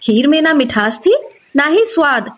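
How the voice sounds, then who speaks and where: A woman's voice: high-pitched, very animated, unhurried. A woman narrating a scripted moral story for children as the storyteller.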